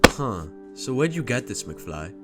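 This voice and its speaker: A man's voice: medium pitch, very animated, a little slow, over loud music. A man voicing a gullible, fearful teenage tough in a motion comic, stammering and slangy. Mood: suspicious. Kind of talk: motion comic character acting.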